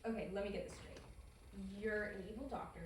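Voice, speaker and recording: A woman playing an incredulous, deadpan nineteen-year-old girl in an animated comedy table read. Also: mid-range voice, fairly quick, natural voice. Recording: echoey room, distant microphone, faint noise.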